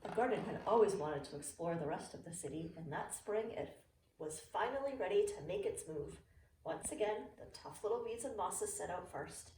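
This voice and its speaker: A middle-aged woman, a teacher reading a children's picture book aloud with expressive, clearly phrased delivery for young listeners. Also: on the low side, normal speed. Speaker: early learning teacher reading to students. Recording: roomy, distant mic with faint noise.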